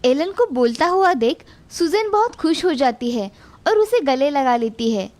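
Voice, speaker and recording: High-voiced woman narrating a story. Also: very animated, measured pace, a little mellow.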